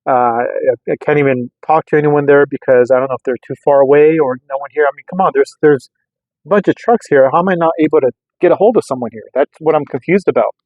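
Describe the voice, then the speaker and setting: A man casually rambling through a hobby product test in a hesitant, halting way, often repeating words. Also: a little high, dry recording. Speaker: radio hobbyist vlogger. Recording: narrow, phone-like, lo-fi sound.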